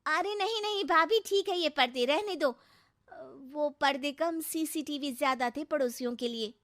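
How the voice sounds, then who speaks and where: Woman playing a snarky, high-pitched young sister-in-law. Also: moderate pace, fairly bright tone. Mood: alarmed. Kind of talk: Hindi cartoon story acting.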